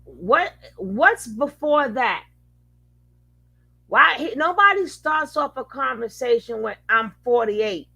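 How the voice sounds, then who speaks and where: A woman comments casually on a live stream, skeptical and wry, laughing as she picks things apart. Her voice is bright and a bit high, with a gravelly fry underneath. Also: animated, takes it slowly, low-quality recording.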